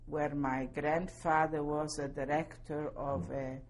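A woman in her seventies from Poland, giving oral history testimony slowly and carefully, spelling out names. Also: low-pitched, flat delivery, a little mellow. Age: 70s. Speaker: interviewee.

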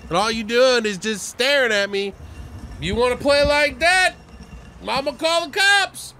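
A bright, high-pitched streetwise ambulance driver, acted by a man, cocky and exasperated. He swears freely in loose slang, taking his time, in a horror audio drama. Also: slight background noise, full character voice. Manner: slangy, swearing, improvised-sounding.

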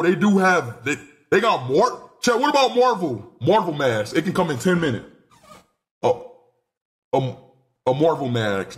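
A nineteen-year-old man streaming live from a small room on a headset mic, excitable and rambling, swearing freely. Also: medium pitch. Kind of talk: casual live stream chat.

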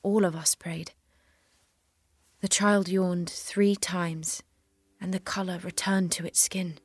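A woman's voice: on the low side, fairly even intonation, slow, husky. A woman acting in an audio drama as a devout, determined young warrior maiden, earnest and resolute. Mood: solemn, reverent.

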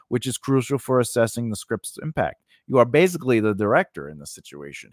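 A man in his forties giving an informal instructional talk, conversational and halting, often rephrasing himself as he goes. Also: fairly low pitch, dry recording, clean studio sound. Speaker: host of a scriptwriting lesson. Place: small indoor recording space. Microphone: close studio microphone on a stand.

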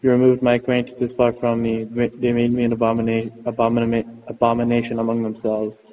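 A man reads psalms in an unhurried liturgical style, holding nearly one pitch throughout. The recording is muffled and dull. His voice trails off into a long church reverb. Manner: steady monotone chant-like reading. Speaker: reader of psalms.

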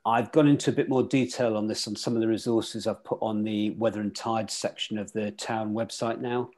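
A man's voice: lowish voice, steady tone, brisk, a little mellow. A middle-aged man hosting a casual club video call on weather and tides, thinking aloud with fillers and restarts. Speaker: host presenting weather and tides. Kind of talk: casual online club talk.

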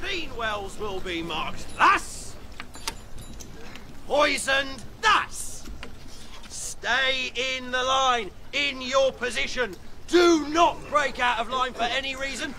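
An actor playing a gruff medieval army sergeant in a radio drama, barking orders at the troops. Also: male voice, very high-pitched, lively intonation, slow pace, background noise, heavily acted. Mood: stern threats.

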